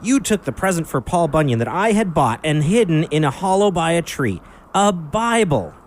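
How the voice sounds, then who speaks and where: A man voicing a big, earnest, excitable blue ox in a children's radio drama. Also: on the high side, moderate pace, slight background noise. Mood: accusing. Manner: emotional, earnest.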